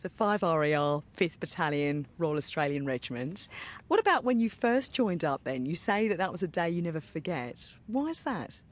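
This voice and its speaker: A male interviewer asking clear, measured questions. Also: a little high, very animated.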